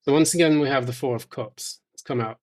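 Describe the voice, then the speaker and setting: A man giving an intuitive tarot reading straight to the listener, speaking in a measured, halting way with thoughtful pauses. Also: medium pitch. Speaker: tarot reader.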